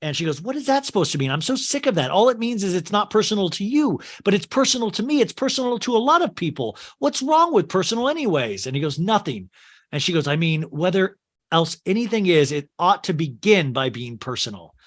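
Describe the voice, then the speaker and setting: A man's voice: sits high, big pitch swings, brisk. A man hosting a podcast with a strained, worn voice, recapping a film scene by scene and acting out its lines in put-on voices. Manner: acts out quotes in shifting put-on voices. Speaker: podcast host.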